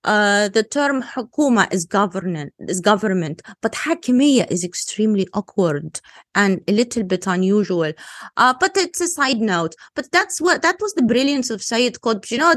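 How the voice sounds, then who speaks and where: A woman's voice: medium pitch, no echo, studio quality. A woman with an Egyptian Arabic accent, a native Arabic speaker, speaking as an analyst on a discussion panel in an emphatic, insistent way. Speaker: guest analyst.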